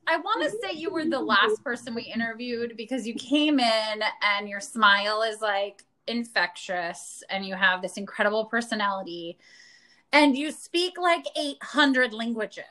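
A woman co-hosting a casual podcast, gushing warmly about her guest and filling her talk with "like". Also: a little high, normal speed. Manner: gushing, uses many "like" fillers. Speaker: podcast co-host.

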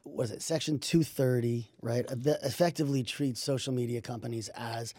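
A man with a mellow, warm tone speaking dry and close to the mic. He co-hosts a casual podcast and works through ideas analytically, stopping often to ask "right?". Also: medium pitch, steady tone, moderate pace, no echo. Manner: analytical, explains step by step with frequent "right?". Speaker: podcast co-host.

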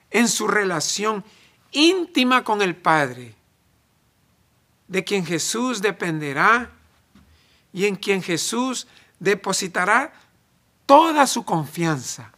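A man from El Salvador, preaching a sermon in deliberate phrases with emphatic pauses. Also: high-pitched, gravelly, bright, forceful tone.

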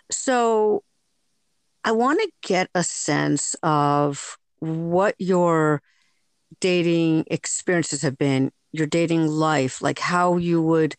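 A woman hosting a podcast, asking long, measured questions that search for the right words. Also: low-pitched, clear, resonant tone, dry recording. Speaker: podcast host. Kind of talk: interview questions.

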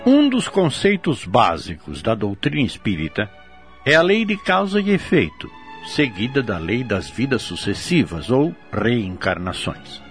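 A man's voice: medium pitch, wildly swooping pitch, a little slow, faint music behind. A man with a Brazilian accent giving formal, didactic religious commentary from a script. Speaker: commentator on spiritist teaching.

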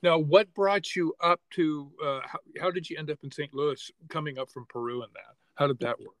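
A man hosting a podcast, enthusiastic and halting as he welcomes and questions his guest. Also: on the high side, steady tone, no echo. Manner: warmly enthusiastic, halting with restarts. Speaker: podcast host. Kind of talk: conversational interview.

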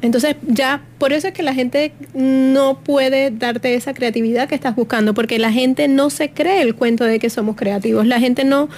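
A woman's voice: high-pitched, steady tone, normal speed, hardly any pauses, a little mellow. Woman with a light Puerto Rican accent. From Puerto Rico. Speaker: guest, creativity workshop leader. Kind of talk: radio interview.

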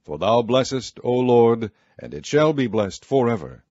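A man reads scripture aloud at a slow, solemn, measured pace. His voice sits in a middle pitch. The recording is muffled and dry, with no room around it. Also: lively intonation, darkish tone, dry recording. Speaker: Bible reader. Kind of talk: scripture reading.

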